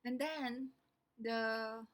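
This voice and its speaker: A woman's voice: on the high side, fairly even intonation, slow. A young woman from the Philippines with a Filipino English accent, explaining things in a chatty, rambling vlog full of ums and asides. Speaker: vlogger.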